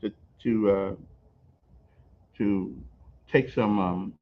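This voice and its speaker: An older man hosting a casual on-location interview, telling stories in an animated way, repeating words and laughing as he goes. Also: on the low side, drawn-out words. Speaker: host. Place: on location at a veterans center. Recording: dull lo-fi recording.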